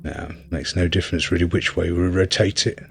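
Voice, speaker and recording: A man's voice: low-pitched, fairly even intonation, fairly quick, vocal fry, soft background music. A man giving casual gameplay commentary as a reviewer, often hesitant and thinking aloud. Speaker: video game reviewer.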